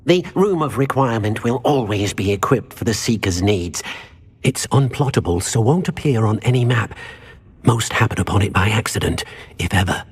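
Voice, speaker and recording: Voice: medium pitch, animated, moderate pace, slightly rough. An actor playing a humble, polite male house elf who is eager to serve, in a video game. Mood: humble, helpful. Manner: polite, speaks of self in third person. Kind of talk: video game dialogue acting.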